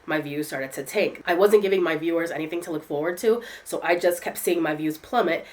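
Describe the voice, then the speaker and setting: A woman's voice: sits low, brisk. A woman content creator chatting candidly to her viewers in a casual vlog, congested from a head cold. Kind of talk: casual talking-to-camera vlog.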